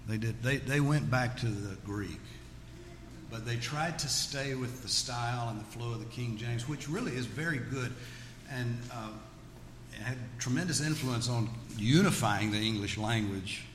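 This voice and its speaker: A man's voice: mid-range voice, measured pace, a bit bright. Man teaching in an echoey hall. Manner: folksy, joking asides. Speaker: Bible teacher. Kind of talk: religious teaching with audience questions. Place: large echoey room with an audience. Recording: echoey hall with background noise.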